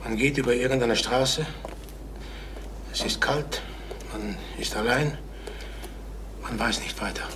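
A distraught salesman near sixty, played by a male actor in a crime radio play. He broods and halts, repeating his words in a mid-pitched voice, with faint noise behind him. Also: natural voice. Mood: brooding despair. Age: late 50s. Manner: halting, repeating himself, brooding. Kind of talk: German crime radio drama acting.

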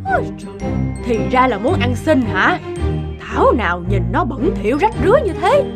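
Haughty, high-pitched housewife. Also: female voice, huge pitch swings, normal speed. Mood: disgusted. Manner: sneering, dismissive. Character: haughty, scornful rich housewife turning away a beggar. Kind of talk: animated fairy-tale dubbing. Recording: loud music behind. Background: loud music.

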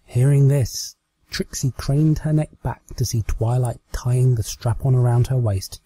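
A man's voice: mid-range voice, unhurried, mellow, breathy edge. A British man reading a story aloud as a scripted amateur narration. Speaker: amateur fan fiction reader.

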